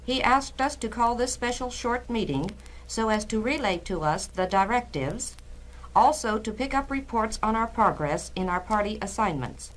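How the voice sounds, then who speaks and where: A woman's voice: on the high side, expressive, normal speed, lightly acted. Actress as a formal, businesslike party chairwoman. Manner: formal, stiff, orderly. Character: businesslike female Communist cell leader chairing meeting. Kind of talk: 1960s dramatized formal speech. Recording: noisy vintage spoken-word LP.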